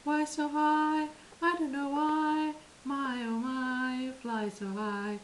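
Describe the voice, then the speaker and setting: A woman voicing a happy plane that sings as it soars through the sky, in a drawn-out, sing-song way. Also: sits high, little pitch movement, slight background noise. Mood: dreamy singing.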